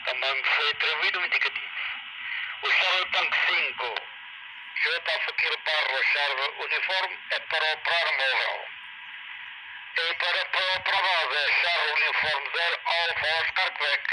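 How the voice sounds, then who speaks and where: A man speaking haltingly as an amateur radio operator, giving call signs through a two-way radio. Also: a little high. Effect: two-way radio transmission. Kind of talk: radio call-sign exchange. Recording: very noisy crackly radio link.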